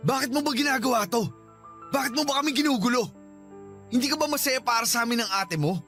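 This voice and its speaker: Radio drama husband: unfaithful, flustered, indignant, firing out lines fast. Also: male voice, very high voice, sharp tone, faint music behind. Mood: accusing. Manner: indignant, agitated. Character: cheating husband, agitated, fending off his sister-in-law. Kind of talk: Tagalog radio drama acting.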